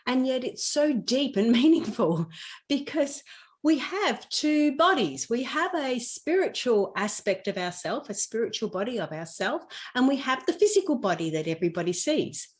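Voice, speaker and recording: A middle-aged woman speaking as a podcast guest in an earnest, explanatory way, repeating phrases for emphasis as she shares in conversation. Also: a little high. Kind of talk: conversational personal storytelling and explanation.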